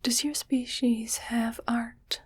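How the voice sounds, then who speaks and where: Lady playing a snooty fussy alien owner, breathy asmr whisper, really slow, kinda high, bit of background noise. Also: steady tone, heavily acted. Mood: musing, critical. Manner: slow, deliberate, condescending. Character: haughty, fussy female alien collector of human pets.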